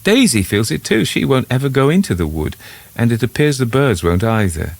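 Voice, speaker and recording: A man voicing an uneasy country gentleman in a ghost story, formal and a little hesitant as he puzzles things out. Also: mid-range voice, sing-song, fairly quick, creaky, pitched above the performer's own voice. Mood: uneasy, grave.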